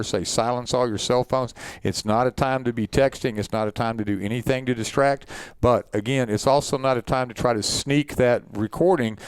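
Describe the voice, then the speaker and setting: A man with a mid-pitched, clear and resonant voice presents guidelines as an organizer. He is direct and firm in an informal way, recorded close and dry. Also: dry recording. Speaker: event organizer leading an information session.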